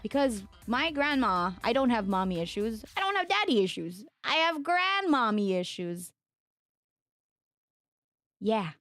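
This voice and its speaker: A young woman from the Philippines, a streamer chatting casually with her viewers and answering their questions, with a low voice from a lingering sore throat. She rambles with plenty of fillers and laughs. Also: sing-song, unhurried, pauses often, fairly bright tone, no echo, soft background music. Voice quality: mezzo-soprano singing voice. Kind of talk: casual chat with chat readers.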